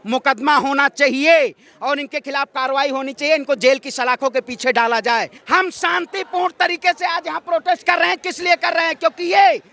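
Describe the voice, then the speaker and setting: Male activist, very high bright voice, combative street oratory. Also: steady tone, fairly quick, hardly any pauses. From Ayodhya, north India. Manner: combative, declamatory, rhetorical questions. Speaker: protester, activist. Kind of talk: impassioned street interview, political speech. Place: outdoor street protest site.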